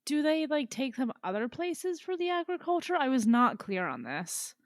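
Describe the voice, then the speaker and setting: A woman's voice: high-pitched, big pitch swings, moderate pace, dry recording. Woman chatting casually, dry close sound. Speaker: podcast co-host. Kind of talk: casual book discussion chat.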